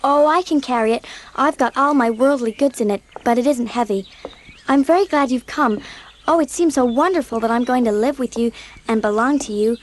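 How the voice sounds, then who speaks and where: A woman's voice: a little high, fairly quick, slight background noise, lightly acted. A woman playing a dreamy, talkative eleven-year-old orphan girl in an audiobook drama, gushing and exclaiming as she chatters. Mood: eager, chatty.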